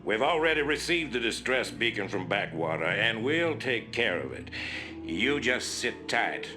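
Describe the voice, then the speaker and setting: A bullying military officer from a video game. His low voice swings widely in pitch over loud background music. He talks down to people with a Southern American drawl and folksy menace. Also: very animated, a little slow. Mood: curt, dismissive.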